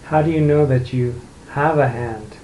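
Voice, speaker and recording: A man leading an unscripted guided meditation, speaking gently and unhurriedly with long pauses between phrases. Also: medium pitch. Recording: roomy echo, light background noise.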